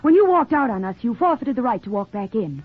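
A thin, tinny old radio drama recording. a bitter young woman scolding her runaway father. Her voice is high and very animated, with sharp-tongued scorn. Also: fast, breathy edge. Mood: bitter, scornful. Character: bitter, indignant grown daughter of runaway father. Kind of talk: 1940s radio acting.

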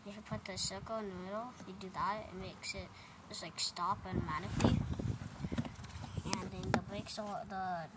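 A woman's voice: mid-range voice, steady tone, normal speed. A young girl filming a casual phone vlog, rambling and apologizing to her viewers as she shows off a toy car in a garage. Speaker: vlogger showing a toy ride-on car. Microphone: handheld phone held close. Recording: loud background noise.

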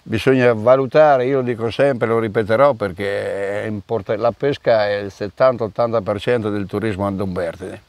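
Middle-aged man, organizer, halting and storytelling, dry close sound outdoors. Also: lowish voice, steady tone, a little mellow, no echo. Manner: hesitant, restarts often, storytelling. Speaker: event organizer. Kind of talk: outdoor interview. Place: outdoor park by a car park.

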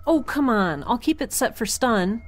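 A woman playing a quirky, excitable young woman in a space audio drama, chatty, wry and rambling. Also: a little high, normal speed, soft background music, lightly acted. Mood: eager, wry.

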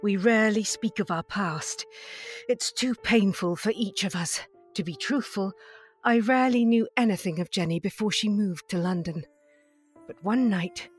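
A woman acting as a dignified non-human lady tells her story in a measured, literary manner. She is tender toward her beloved and speaks at a middling pitch, with music playing underneath in this audio drama. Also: natural voice. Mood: wistful. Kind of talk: first-person narration in an audio drama.

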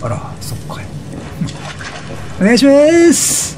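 A man giving casual, excitable let's play commentary, chatty and reactive, often repeating short phrases. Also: slow pace, background noise. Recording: game music behind the voice. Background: video game music.

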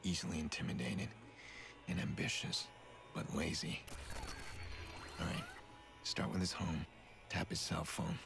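Gruff American game vigilante, very low voice, terse, determined, loud background noise. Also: male voice, fairly even intonation, a little slow, pauses often, exaggerated voice. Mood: calculating. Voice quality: gravelly. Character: brooding, gruff vigilante man, determined and guarded. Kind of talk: video game voice acting. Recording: loud vehicle and ambient noise.

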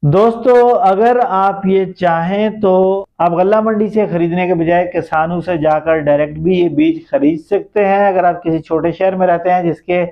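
A man's voice: sits high, dull audio. A middle-aged man with a Pakistani accent, explaining things to viewers as the host of an online video. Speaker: YouTube host. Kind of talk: explanatory business-idea vlog addressed to viewers. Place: small plain indoor room.

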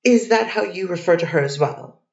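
A woman's voice: fairly low pitch, normal speed, creaky, a little mellow. A woman reading a methodical defense lawyer. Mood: steady. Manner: methodical cross-examining questions. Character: poised woman defense lawyer cross-examining. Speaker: defense attorney. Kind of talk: readers theater courtroom reading. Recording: dull distant echoey recording.

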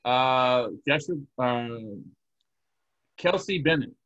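A man teaching an online class, calling names in a relaxed, hesitant way. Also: mid-range voice, slow pace, lo-fi sound. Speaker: instructor. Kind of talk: casual roll call on a video class. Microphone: video call.

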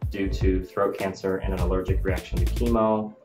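An American man with a fairly low voice shares personal stories in a casual, explanatory way. The room has noticeable echo, and music plays behind him. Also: flat delivery, normal speed. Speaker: video host and health business owner.

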